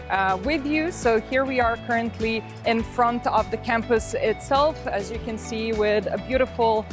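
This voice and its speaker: Young woman guiding a tour. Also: a little high. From Serbia. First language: Serbian. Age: 20s. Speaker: student tour guide. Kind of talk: semi-scripted campus tour presentation. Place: university campus, outdoors and in large halls.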